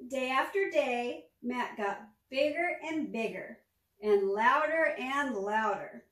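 A woman in her forties reading a children's picture book aloud, with measured, expressive storytelling. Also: sits high. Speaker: storytime reader, former teacher. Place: small furnished room at home, indoors. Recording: echoey room, distant microphone.

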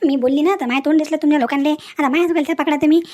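A woman's voice: high-pitched, steady tone, racing, darkish tone, natural voice. A woman voicing a defensive, self-pitying village mother in a cartoon comedy, complaining repetitively with a rural Varhadi Marathi accent. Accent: Varhadi Marathi from Vidarbha, Maharashtra. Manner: complaining, repetitive rustic wailing talk. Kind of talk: cartoon family comedy dialogue.

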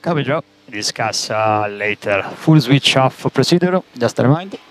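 A man working as a race engineer, giving terse, halting instructions over team radio and repeating key commands. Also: medium pitch, lively intonation. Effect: team radio transmission. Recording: noisy motor-racing team radio.

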